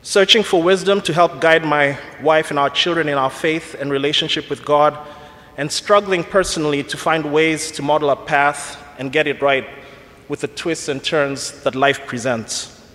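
A man in his forties with a Kenyan accent, giving an earnest, measured reflection to a church congregation. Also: on the high side, fairly bright tone. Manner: earnest, measured, slightly self-deprecating. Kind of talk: prepared church talk. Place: church sanctuary. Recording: long church reverb.

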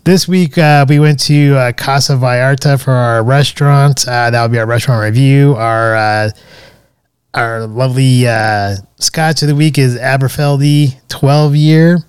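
This is a man co-hosting a relaxed podcast, his voice smooth and resonant. He talks in a halting way, filling gaps with "uh" and "um". The recording is dry and close, with no room sound. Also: medium pitch, no room sound. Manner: hesitant, many "uh" fillers. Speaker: podcast co-host. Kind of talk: casual podcast chat.

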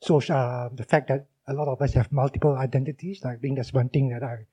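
A man in the audience asking a question hesitantly, pausing and searching for his words. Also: medium pitch, steady tone, dark tone, husky. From Australia.

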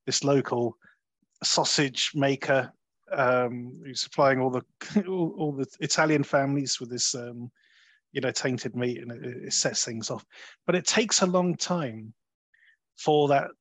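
A man hosting a conversational film review, talking in a halting way and often rephrasing as he goes. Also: mid-range voice, fairly bright tone, no room sound. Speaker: film review podcast host.

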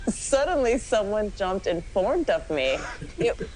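A woman's voice: mid-range voice, big pitch swings, measured pace, background noise. A woman giving a comic dramatic reading of fan fiction, often giggly as she goes. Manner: giggly, often breaking into laughter. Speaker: podcast host doing a dramatic reading.

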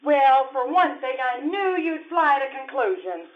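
A woman's voice: sits high, sing-song, a little slow. An actress playing a coy, teasing wife who breezily cajoles her jealous husband, in live radio comedy style. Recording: dull lo-fi live stage recording.

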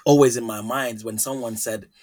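A man's voice: mid-range voice, fairly even intonation, moderate pace, darkish tone. A man hosting a podcast interview, speaking with passion as he builds long questions. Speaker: podcast host.

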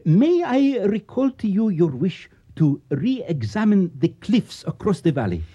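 An official in a radio play, dark and husky in voice. His delivery swings widely in pitch while staying formal, careful and deferential. The recording is dull. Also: big pitch swings, unhurried, full character voice. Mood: courteous, careful. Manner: formal, precise, elaborately courteous. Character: polite Egyptian antiquities official, formal, deferential, careful uncle. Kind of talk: radio drama acting.

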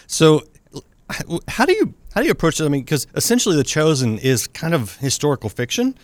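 A man's voice: fairly high pitch, sing-song, a little fast, no room sound. A man hosting an interview, introducing a guest and asking questions in a friendly, encouraging way. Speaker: interviewer host.

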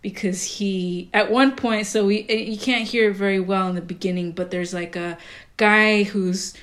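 Animated laughing woman from Costa Rica. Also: medium pitch, moderate pace. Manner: animated, laughs often, many fillers. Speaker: filmmaker guest. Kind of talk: casual interview conversation.

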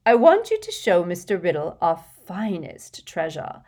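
A woman's voice: fairly low pitch, wildly swooping pitch, measured pace, dark tone, exaggerated voice. A woman voicing a doting, gushing wealthy old woman who shows off her treasures in a fawning, breathless way, dropping now and then to coy whispers.

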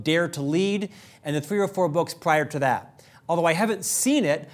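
A middle-aged man hosting a leadership show, talking fast and upbeat in a prepared monologue, with an occasional stutter on a word. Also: fairly high pitch. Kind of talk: scripted monologue to camera.

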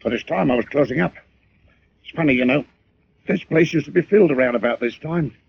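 An actor playing a mad, murderous barkeeper with a folksy, bitter manner and a husky voice in 1940s radio drama style. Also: male voice, fairly high pitch, fairly quick, slight background noise. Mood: sly, confiding. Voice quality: husky, gravelly. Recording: dull old radio recording.

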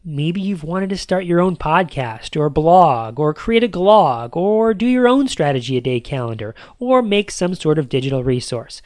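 A man's voice: on the high side, expressive, normal speed, few pauses, a little mellow, no echo. Upbeat male podcast host, dry close mic. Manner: upbeat, promotional. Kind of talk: scripted podcast narration.

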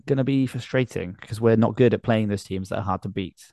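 A man's voice: lowish voice, fast, dark tone, no echo. A man hosting a football podcast in casual, wry, self-deprecating banter. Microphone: close podcast mic on a video call.

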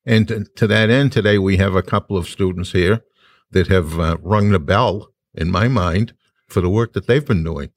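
A man with a very deep voice chats easily with his co-host, unhurried and repeating himself a little as he goes. His voice sounds dry and close. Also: dry recording. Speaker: podcast co-host. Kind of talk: casual podcast chat. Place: university radio studio.

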